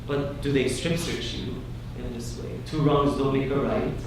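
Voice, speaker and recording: A man's voice: mid-range voice, steady tone, unhurried. A young man giving an earnest, emphatic keynote speech, telling personal stories to an audience. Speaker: keynote speaker, academic. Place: large indoor meeting hall at a conference. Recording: echoey hall, far from mic, noisy.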